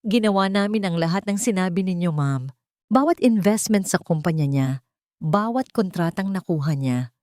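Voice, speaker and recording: A woman with a Filipino accent voicing a respectful, careful lawyer in his fifties in an audio love story, deferential and measured. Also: lowish voice, expressive, darkish tone, no echo, studio quality, lightly acted.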